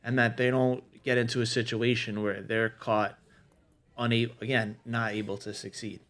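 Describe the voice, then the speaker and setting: A man's voice: mid-range voice, little pitch movement, normal speed, fairly bright tone. A man talking casually as a podcast panelist, laying out practical management advice point by point in a conversational, explanatory way.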